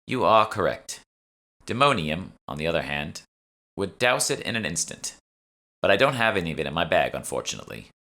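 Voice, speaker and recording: A man acts out a brusque female alchemist from an urban fantasy audiobook. She is irritable and sardonic, explaining things curtly with a sharp, forceful edge. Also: sits low, big pitch swings, fairly quick, heavily acted. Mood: coolly fuming. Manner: curt, sardonic, sweary. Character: brusque, irritable young woman, know-it-all alchemist partner.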